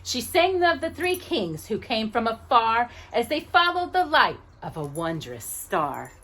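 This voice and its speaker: A woman's voice: a little high, very animated, a little slow, pushed and bright. A woman reading a children's picture book aloud expressively, with casual asides to the listener.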